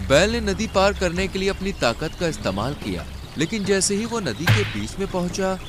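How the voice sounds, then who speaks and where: A man's voice: high-pitched, very animated, normal speed, over loud music. A man narrating an animal fable in a dramatic storytelling style.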